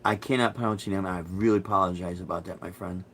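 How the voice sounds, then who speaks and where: Middle-aged man from Chicago, musician hosting a casual live stream; low-ish, halting, rambly delivery. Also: fairly even intonation, a bit bright. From Chicago, USA. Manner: rambling, hesitant, many restarts and fillers. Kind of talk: casual live-stream chat, answering viewer comments.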